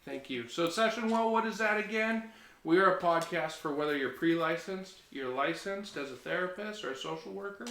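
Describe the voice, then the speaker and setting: A man's voice: a little high, normal speed, a bit bright. Enthusiastic male podcast host, roomy sound. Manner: enthusiastic, joking. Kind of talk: casual podcast hosting. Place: living-room podcast studio.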